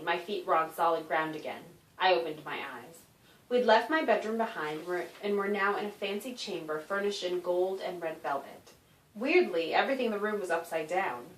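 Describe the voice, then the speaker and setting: A woman author reading a children's story aloud in an expressive, animated narration with dramatic emphasis. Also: lowish voice. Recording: roomy echo, distant microphone.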